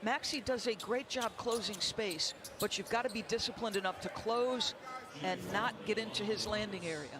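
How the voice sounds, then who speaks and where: A woman's voice: medium pitch, moderate pace, a crowd in the background. A woman giving live analysis as a color commentator on a basketball broadcast.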